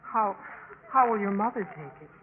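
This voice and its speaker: A woman's voice: medium pitch, expressive, normal speed, natural voice. An actress playing an earnest, hesitant young college woman in a 1950s radio drama. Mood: hesitant, sympathetic. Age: young adult. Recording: muffled, crackly 1950s radio recording.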